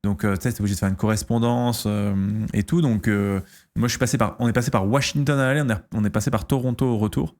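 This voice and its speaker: A man in his thirties from France, chatting casually on a stream, answering questions and pausing often with fillers and restarts. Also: medium pitch, steady tone, darkish tone. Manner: hesitant, lots of fillers and restarts.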